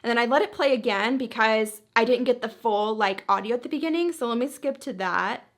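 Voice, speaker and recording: A woman's voice: on the high side, a little fast. A woman hosting a social media tutorial, talking casually and chattily through each step.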